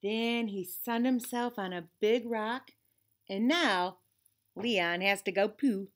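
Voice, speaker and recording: A woman's voice: medium pitch, slow pace. A middle-aged woman teacher reading a picture book aloud to children expressively, with conversational asides. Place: classroom, indoors.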